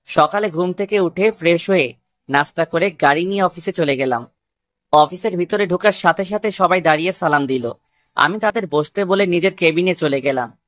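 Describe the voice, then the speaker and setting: A woman's voice: on the low side, moderate pace, dry recording. A woman narrating a scripted first-person love story.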